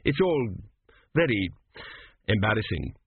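A man's voice: mid-range voice, animated, moderate pace, husky, phone-quality sound. A man voicing a reserved, embarrassed schoolboy prince in a storybook, speaking politely and awkwardly. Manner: hesitant, awkward. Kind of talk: audiobook character voice.

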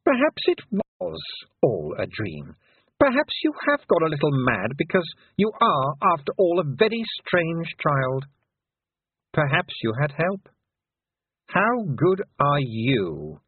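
A man's voice: on the high side, huge pitch swings, takes it slowly, husky, dry recording, phone-quality sound, pitched above the performer's own voice, full character voice. A man voicing a cold, menacing fairy queen who mocks a girl. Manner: mocking, icy.

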